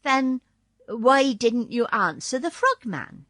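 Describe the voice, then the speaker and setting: A woman's voice: a little high, lively intonation, slow pace, fairly bright tone, no room sound, studio quality. A woman voicing a direct, practical young woman cook in a storybook reading.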